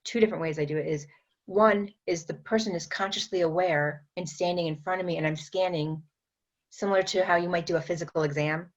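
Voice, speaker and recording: A woman guest on a podcast interview, explaining her energy work in an unhurried way, with many fillers and restarts. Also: lowish voice, steady tone, muffled recording. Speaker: guest, metaphysician and energy trainer.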